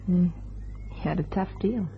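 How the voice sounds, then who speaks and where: A plain, guarded widow who waits tables in an old-style crime radio play. She talks in blunt, clipped phrases with a tired wariness. The sound is dull and clearly noisy. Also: on the low side, moderate pace. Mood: subdued. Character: Helen, plain weary guarded widowed diner waitress.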